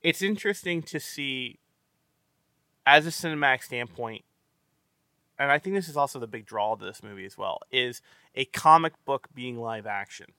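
A man's voice: medium pitch, normal speed, sharp tone, dry recording. A man hosting a casual movie-review podcast, rambling with many stammered restarts and free swearing. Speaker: podcast host.

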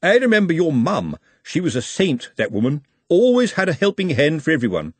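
A man's voice: a little high, wildly swooping pitch, brisk, slightly rough, no echo, voice pushed up, full character voice. A man voicing a nosy, sly middle-aged watchman who gossips conspiratorially. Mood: wheedling.

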